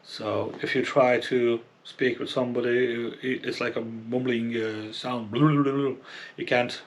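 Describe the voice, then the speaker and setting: A man giving a casual, unscripted product review as a presenter, explaining haltingly and often checking in with a questioning okay. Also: medium pitch, little pitch movement. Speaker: fishing channel presenter reviewing gear.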